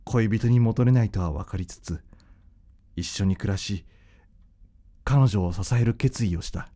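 An actor playing a gentle, devoted young man who hides his heartbreak, in a Japanese radio drama. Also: on the low side, unhurried. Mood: somber narration. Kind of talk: radio drama acting with narration.

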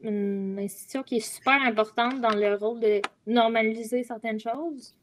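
A woman's voice: a little high, steady tone, moderate pace, a little hiss or hum. A young woman with a Quebec French accent, chatting casually in a group discussion on a video call from home. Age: young adult. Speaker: guest columnist on a youth talk show.

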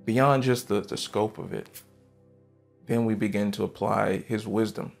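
A pastor in his thirties preaches on a church stage into a headset mic, his mid-range voice carrying a gravelly edge. He is fervent and emphatic, swinging from loud, punchy bursts down to hushed asides, with soft music faintly underneath. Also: on the low side, steady tone, a little slow. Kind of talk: sermon.

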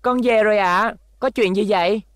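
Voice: medium pitch, moderate pace, a bit bright, smooth, dry recording, lightly acted. An actor voicing an earnest, polite young robot boy hero with a boyish manner in a cartoon dub.

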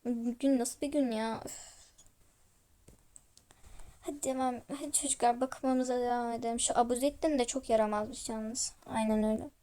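A woman's voice: on the high side, steady tone, normal speed, long pauses, warm tone. A woman improvising a voiced role-play story, speaking all the characters of a toy-world game video herself.

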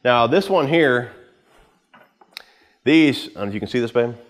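A man in his thirties giving a casual, unscripted walkthrough to camera in an informal, rambling way, with pauses as he handles gear. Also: medium pitch, lively intonation. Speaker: vlogger giving a boat tour. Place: home garage with the door open.

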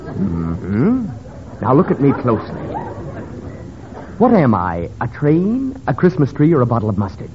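Formal self-important doctor, animated intonation, probing, pompous, old radio comedy, dull recording. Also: male voice, mid-range voice, unhurried, pauses often. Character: self-important, patient but easily interrupted psychoanalyst doctor. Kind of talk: 1930s radio comedy acting. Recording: muffled, hissy 1930s radio recording.

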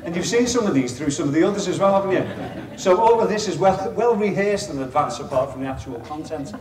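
A man's voice: high-pitched, expressive, fairly quick, echoey room, distant mic, background noise. A middle-aged man with a Liverpool accent gives an informal conference talk as a chief executive, chatty and wry, with self-deprecating asides. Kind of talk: informal presentation to an audience. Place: meeting room with an audience. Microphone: lapel mic.

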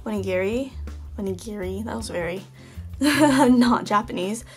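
A woman hosting a toy unboxing video in a casual, chatty way, her voice a little hoarse from just waking up. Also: medium pitch, unhurried, darkish tone, faint music behind. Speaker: toy unboxing channel host. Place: indoors at a table. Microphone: off-camera, hands-only tabletop shot.

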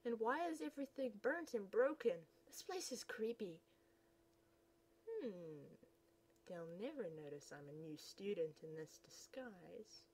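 A woman's voice: mid-range voice, animated, unhurried, a little mellow, slight background noise. A young woman reading her own comic aloud, playfully switching voices between the characters. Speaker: comic reader.

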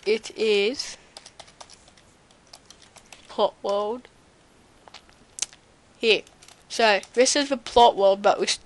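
Slow, hesitant young woman with a cold. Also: medium pitch. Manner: hesitant, many ums, slow and rambling. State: slight cold, runny nose and cough. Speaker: server owner giving a tour. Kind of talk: casual gameplay commentary. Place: home room at a computer. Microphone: desk mic near the keyboard, typing audible.

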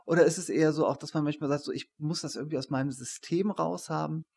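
A man's voice: fairly high pitch, steady tone, fast talker, creaky, darkish tone, breathy edge. A man in his forties hosting a casual interview, asking questions into a desk microphone in a small room. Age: 40s. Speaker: interview host, professor. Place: small attic room, indoors. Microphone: shared desk microphone.

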